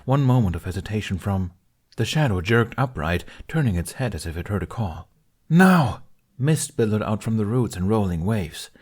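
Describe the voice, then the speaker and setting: A man reading a fan fiction story aloud with steady, expressive storytelling. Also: lowish voice, fairly quick, husky. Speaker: podfic reader. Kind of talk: fanfiction audiobook narration.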